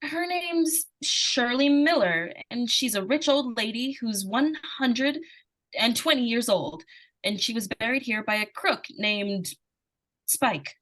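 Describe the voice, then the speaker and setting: A woman's voice: fairly high pitch, expressive, takes it slowly, fairly bright tone, poor audio. A woman playing an earnest, determined young schoolgirl who tells her story in plain, insistent words in an old-time radio suspense drama. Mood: eager, coaxing.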